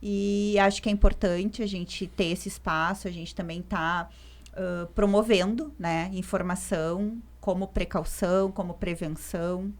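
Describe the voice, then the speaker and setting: A woman's voice: fairly low pitch, steady tone, measured pace. Woman psychologist, discursive. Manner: thoughtful, many fillers like "né" and "assim". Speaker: psychologist guest. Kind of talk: podcast discussion.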